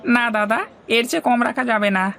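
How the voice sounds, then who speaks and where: Bright, high-voiced market seller played by a man. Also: normal speed, gravelly, a faint crowd, slight background noise, full character voice. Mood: firm. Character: market vegetable seller, firm on price. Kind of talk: cartoon moral-story dialogue.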